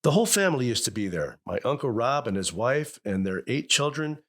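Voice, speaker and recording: Sitcom father of old radio, middle-aged, lively swooping delivery, blustery yet sentimental. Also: mid-range voice, fairly quick. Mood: nostalgic. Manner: blustering, hesitant when sincere. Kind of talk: old-time radio family comedy-drama acting.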